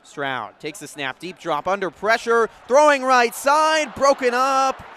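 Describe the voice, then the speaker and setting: A man doing live radio play-by-play, moving between measured setup and urgent calls. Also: very high-pitched, bright, forceful tone. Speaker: play-by-play sports announcer. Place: outdoor stadium broadcast position. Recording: background noise of an outdoor stadium.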